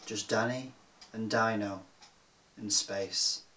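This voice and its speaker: Male children's storyteller, theatrical and deliberate picture-book read, forceful bright tone, roomy echo. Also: on the low side, steady tone, a little hiss or hum. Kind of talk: expressive rhyming picture-book reading.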